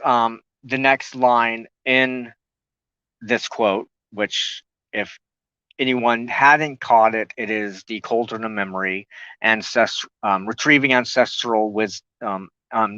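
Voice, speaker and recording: A man hosting a spiritual talk show, explaining loosely and haltingly, often pausing mid-sentence to search for words. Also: on the low side, bright, forceful tone, no room sound, muffled recording.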